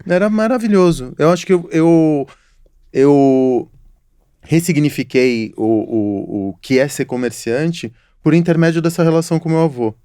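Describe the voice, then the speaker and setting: A man's voice: fairly high pitch, measured pace, dark tone, smooth, dry recording, clean studio sound. A middle-aged man hosting a casual interview podcast, telling stories in a reflective, philosophical way. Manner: reflective, storytelling, apologetic asides. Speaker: podcast host.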